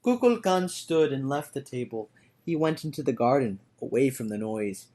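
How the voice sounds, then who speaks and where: A man reading a storybook aloud as a dramatic, theatrical storyteller who moves between hushed and loud delivery. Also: medium pitch, expressive, normal speed. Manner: theatrical, swinging from hushed to shouting. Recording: orchestral music behind the voice. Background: orchestral music.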